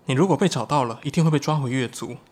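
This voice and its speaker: An actor playing an earnest, excitable young shapeshifting tribesman in a Chinese audio drama, explaining things expressively. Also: mid-range voice, fast, slightly rough, close to an everyday voice.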